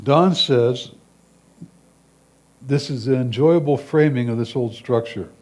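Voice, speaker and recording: A man's voice: mid-range voice, darkish tone. A man reading a judge's written critiques aloud as a competition reader.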